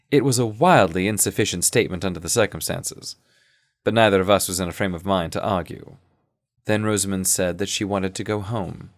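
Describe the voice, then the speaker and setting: A man playing an earnest, shaken sleuth who narrates his adventure in a measured, literary way for an audio drama. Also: sits low, no echo, studio quality. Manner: literary, measured, deliberate phrasing. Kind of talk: scripted first-person audio drama narration.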